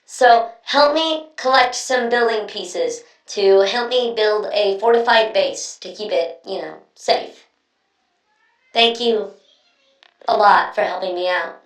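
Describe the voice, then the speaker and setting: A woman's voice: medium pitch, slow, lightly acted. A woman voicing a friendly, earnest young female inventor in a video game, talking in a casual, chatty, slightly hesitant way. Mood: earnest, hopeful. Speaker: video game quest character. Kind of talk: scripted game voice lines, conversational. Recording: roomy, echoing, distant-sounding voice.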